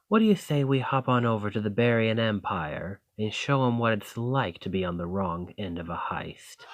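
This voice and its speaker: Bandit leader, male actor, audio roleplay: confident rogue, fairly deep voice, very unhurried, folksy and teasing. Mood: rallying, defiant. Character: roguish male bandit leader, confident, wry, genial schemer. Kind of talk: scripted roleplay acting addressed to the listener.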